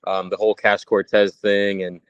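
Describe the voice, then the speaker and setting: Male musician in his thirties, smooth resonant voice, casual interview guest, close dry but slightly lo-fi sound. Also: fairly low pitch, little pitch movement, moderate pace, no room sound. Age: 30s. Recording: slightly dull, lo-fi audio.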